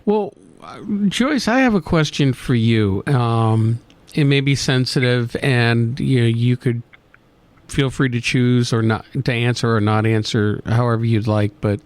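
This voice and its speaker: A male radio host asking questions in a gentle, careful, tactful way. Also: medium pitch, a little slow. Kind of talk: interview questions.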